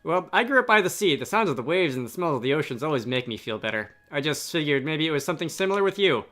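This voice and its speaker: A man voicing a chirpy, earnest young adventurer from a video game, with an eager, animated way of telling things. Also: a little high, fast.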